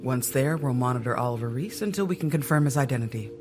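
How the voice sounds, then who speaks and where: An actor plays a resolute male government agent in an audio drama. His medium-pitched voice is firm, businesslike and guarded, over faint background music. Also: expressive, quick pace, natural voice.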